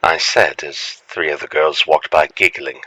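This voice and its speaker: A man narrating a scripted first-person story in a dramatic, expressive storytelling manner. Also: a bass voice, a little slow, no room sound, low-quality recording. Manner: expressive storytelling, acts out the lines.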